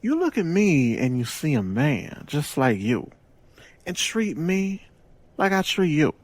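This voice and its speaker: An actor playing a smooth gangster boss in an audio drama, with a deliberate, self-assured, persuasive delivery and sly wit. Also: male voice, a little high, wildly swooping pitch, no echo, full character voice. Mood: confiding, persuasive.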